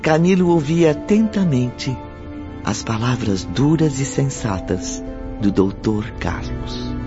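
A man's voice: medium pitch, animated, slow pace. A man narrating a scripted radio drama. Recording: muffled, loud music behind.

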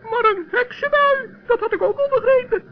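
Tiny fussy gnome, extremely high voice, excitable, earnest, children's radio play, dull old recording. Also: normal speed. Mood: resolute, indignant. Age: adult character. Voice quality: very high-pitched, small voice.

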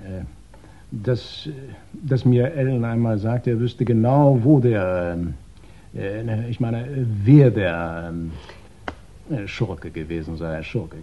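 An actor playing a meek henpecked husband in a 1960s radio crime drama, flustered and agreeable, hesitating and repeating himself. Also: male voice, fairly low pitch, slow pace, a little hiss or hum. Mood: nervous, hesitant. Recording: dull vintage 1960s radio recording.

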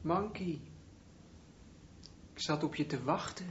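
A man's voice: fairly high pitch, lively intonation, takes it slowly. An actor playing a mocking, territorial young male bully in a radio drama. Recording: muffled, slightly noisy recording.

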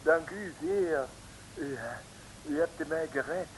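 A man's voice: a little high, slow, low-quality recording. An actor playing a flustered, grateful French policeman with a French accent in a 1950s Dutch radio serial, effusive and formal. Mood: relieved, flustered. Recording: hissy old radio recording.

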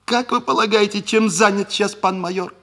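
An actor playing a sly, gossipy neighbour who narrates a 1960s Soviet radio comedy in an arch, confiding way. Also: male voice, sits high, steady tone, a little fast, bright, forceful tone, heavily acted.

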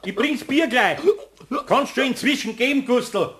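High-voiced, flustered Bavarian craftsman. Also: lively intonation, unhurried, a bit bright, exaggerated voice. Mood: alarmed, scolding. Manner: folksy, fussing, repeating words. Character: kindly, flustered older Bavarian master craftsman. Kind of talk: German radio play acting.

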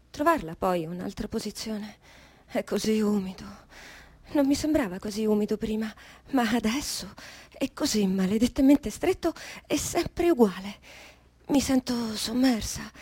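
An actress playing a trapped, stubborn, sardonic unborn baby with an adult mind in a theatrical radio monologue, repeating and correcting herself. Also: female voice, mid-range voice, husky, no echo. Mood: confined, uneasy. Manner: self-correcting, repetitive, musing then emphatic.

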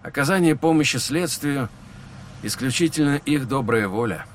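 A man playing a patient police lieutenant who talks in a measured, explanatory way. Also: mid-range voice, expressive, slight background noise, close to an everyday voice. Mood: explaining. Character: weary young male police lieutenant, patient. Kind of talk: audio drama acting.

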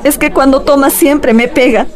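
A woman's voice: high voice, steady tone, moderate pace, crowd noise, close to an everyday voice. A woman acting a meek, frightened young daughter-in-law in a radio drama, with a submissive, apologetic way of speaking. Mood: meek, fearful.

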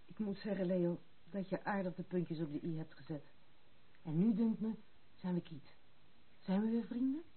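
A woman's voice: on the low side, normal speed, breathy edge. An actress playing a proud, blunt, tomboyish young noblewoman in a Dutch radio play, frank and self-assured. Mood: frank, challenging. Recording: tinny, band-limited, noisy old radio recording.

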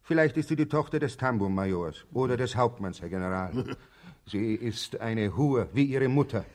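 A man in a German radio drama playing a deferential, haunted middle-aged barber and executioner who speaks haltingly and repeats himself. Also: medium pitch, animated, a little mellow, lightly acted. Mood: bitter. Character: servile, haunted barber and executioner, rambling simple soldier type.

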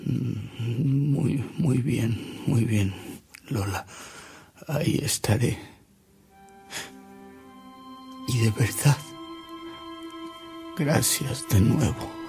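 An actor with a Mexican accent playing a courteous elderly man who tells reverent stories in a gravelly voice in a radio drama. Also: medium pitch, music in the background. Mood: courteous, gentle. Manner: courteous, reverent, unhurried storytelling. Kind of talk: Spanish-language radio drama acting.

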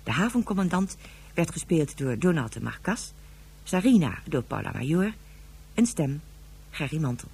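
A woman with a husky voice reading scripted closing credits as an announcer. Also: low-pitched, big pitch swings, normal speed, a little mellow.

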